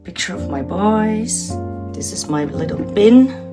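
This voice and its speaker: A woman in her forties from the Netherlands with a Dutch accent, giving a shy, halting, earnest tour of her home art studio. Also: medium pitch, lively intonation. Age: 40s. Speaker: vlogger. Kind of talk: casual studio tour chat. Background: guitar music.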